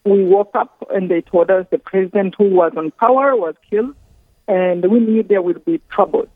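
A woman from Rwanda, speaking slowly and earnestly as a guest on a phone interview, often pausing mid-thought. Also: mid-range voice, no room sound. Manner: slow, earnest, halting with careful pauses. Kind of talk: phone interview, personal testimony. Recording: tinny phone line.

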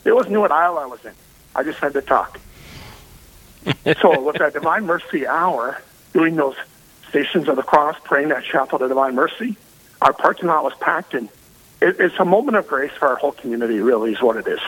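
A man, a parish priest, speaking loudly and energetically as a guest on a radio interview. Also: a little high, a little fast, husky, rough recording. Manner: loud, energetic and emphatic.

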